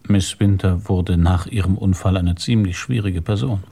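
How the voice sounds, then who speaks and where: A man playing a measured, probing police inspector in a radio drama, husky and deliberate in his speech. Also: deep voice, steady tone, warm tone. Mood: probing, dry. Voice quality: husky, gravelly.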